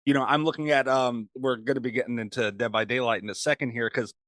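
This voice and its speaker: A man's voice: mid-range voice, steady tone, fast talker, a bit bright, no echo. A man hosting a podcast, chatting casually as he interviews a guest.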